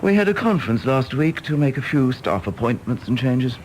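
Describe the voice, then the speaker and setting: An actor playing a tactful, evasive English senior civil servant, polite and hesitant, in a period radio drama. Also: male voice, medium pitch, big pitch swings, fairly quick, darkish tone, a little hiss or hum, close to an everyday voice, poor audio. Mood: awkward, evasive. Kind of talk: period stage-play radio drama acting.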